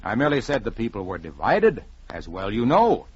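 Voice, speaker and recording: A man's voice: lowish voice, huge pitch swings, fairly quick, slightly rough, highs cut off, heavily acted. A man voicing a cunning condemned young prisoner in a radio story, formal, deferential and persuasive, on a vintage recording. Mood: calm, persuasive.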